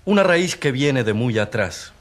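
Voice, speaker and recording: An actor playing a learned doctor testifying as an expert witness, explaining deliberately, in a radio drama. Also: male voice, medium pitch, expressive, lightly acted. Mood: grave, explanatory.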